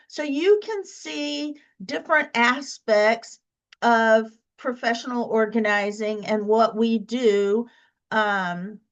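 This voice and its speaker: A woman's voice: a little high, dull audio. A woman who is a professional organizer, hosting a casual solo podcast while congested with a cold, chatty with fillers and restarts.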